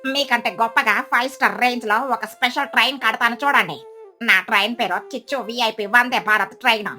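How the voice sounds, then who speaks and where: A woman's voice: a little high, fairly quick, sharp tone, soft background music. A woman playing a boastful, stingy, scornful mother crow in a children's cartoon story, with a sneering, scolding manner. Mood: proud boasting.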